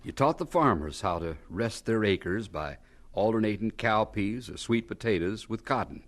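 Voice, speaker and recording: A man's voice: lowish voice, animated, normal speed. A man narrating and announcing a scripted radio drama in a formal storytelling style.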